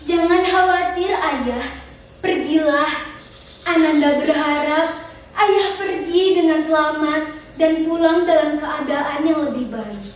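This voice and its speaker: A woman's voice: sits high, takes it slowly. A woman voicing a good-hearted, dutiful young prince, earnest, formal and emphatic, in a storytelling performance. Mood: reassuring. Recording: distant echoey room with faint noise.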